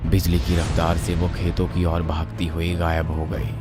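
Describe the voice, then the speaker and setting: A man's voice: low-pitched, completely flat delivery, normal speed. A man telling a scripted horror story as a dramatic narrator. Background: music.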